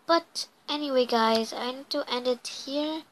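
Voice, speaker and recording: A young woman who is losing her voice, hoarse and hesitant, chatting with many ums while playing a mobile game. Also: high-pitched, unhurried, slight background noise. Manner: hesitant, full of ums and uhs, apologetic. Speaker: gameplay video host. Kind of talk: casual gameplay commentary.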